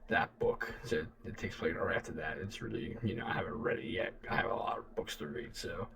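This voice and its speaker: A man gives casual reaction commentary. His voice is low with noticeable fry, and he rambles in loose, repeating phrases, sounding a little away from the microphone. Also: fairly bright tone, recorded from a distance. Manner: halting, repetitive, loose phrasing. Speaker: reaction video host.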